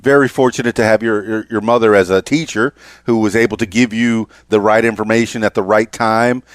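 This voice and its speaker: Guy in his 50s doing a casual podcast interview, lowish voice, stumbles and repeats words, dry close sound. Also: fairly even intonation, fairly quick, no room sound.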